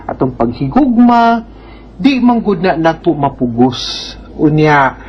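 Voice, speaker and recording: A woman's voice: low-pitched, lively intonation, slow pace, breathy edge, muffled recording. A Filipino woman with a Bisaya accent reads out questions and answers them as an advice host, explaining informally with tag questions. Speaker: host giving legal advice to a letter sender. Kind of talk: advice segment, reading out and answering questions conversationally.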